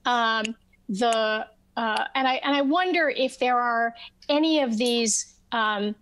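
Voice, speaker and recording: A woman moderates a virtual panel through a webcam. She speaks slowly with a fairly high voice, halting often on ums and restarts. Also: creaky, fairly bright tone. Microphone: webcam on video call.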